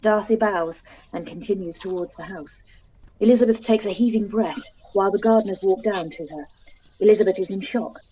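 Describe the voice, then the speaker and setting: A woman narrating stage directions for a live-read radio play, calm and even. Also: mid-range voice, brisk, a little hiss or hum, low-quality recording.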